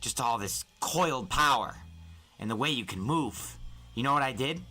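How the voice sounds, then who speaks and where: A man voicing an earnest, excitable teenage boy in an audiobook, gushing with emphatic enthusiasm. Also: mid-range voice, normal speed, faint music behind, pitched above the performer's own voice. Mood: excited. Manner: breathless, emphatic, boyish gushing.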